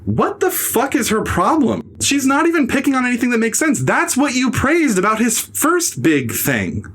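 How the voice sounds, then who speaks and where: A man's voice: huge pitch swings, normal speed, a bit bright, breathy edge. Sarcastic young man ranting about films. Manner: sarcastic, mocking impressions in a high voice. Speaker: podcast host. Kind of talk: casual opinionated film talk.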